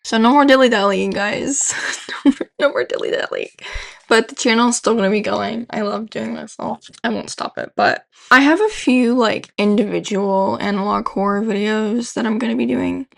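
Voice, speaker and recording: A woman's voice: a little high, moderate pace, creaky. A young adult woman giving casual, informal, slangy video commentary as a host. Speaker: YouTube horror video host.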